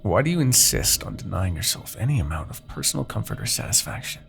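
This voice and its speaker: A man playing a haughty, seductive demon king in a fantasy audio roleplay, persuading with silky condescension, dramatic pauses and a gravelly voice. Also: very animated, fairly bright tone, soft background music, heavily acted. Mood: probing, condescending. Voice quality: gravelly, creaky low voice. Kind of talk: fantasy ASMR roleplay monologue.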